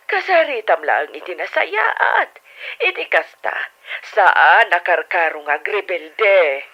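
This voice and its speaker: A woman's voice: fairly low pitch, dull audio, heavily acted. A woman acting in an Ilocano audio drama as a concerned, middle-aged sister giving advice, heard through a telephone effect. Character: concerned, advising older sister calling from abroad.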